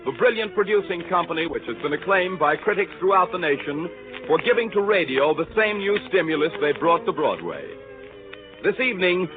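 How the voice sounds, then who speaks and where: A male radio announcer with a very high voice, formal and scripted in 1930s style. The sound is narrow vintage radio with faint music behind.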